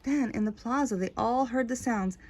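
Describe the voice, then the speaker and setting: A woman's voice: on the high side, moderate pace, a little mellow, no room sound. A woman reading a children's story aloud expressively, stretching key words for emphasis. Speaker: storybook reader. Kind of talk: expressive read-aloud narration of a children's book, with casual asides.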